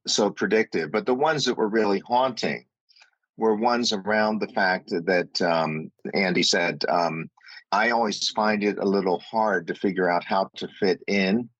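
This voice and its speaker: A very deep-voiced man talks as an interview guest, earnest and rambling. He restarts often and laughs softly. The sound is dry and close, with no room echo. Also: lo-fi sound. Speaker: guest, company CEO. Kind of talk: reflective interview talk.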